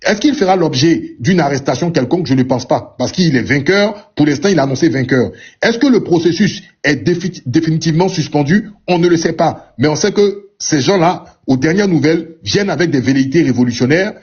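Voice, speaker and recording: A man commenting on politics in a radio debate, speaking in a measured way, asking rhetorical questions and repeating "d'accord" as a filler. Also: fairly high pitch, expressive, darkish tone, husky, dull audio.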